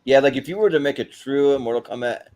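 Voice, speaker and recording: A man's voice: mid-range voice, quick pace, a little mellow. A man hosting and chatting on a casual movie panel in an informal, joking way. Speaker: host and panelist.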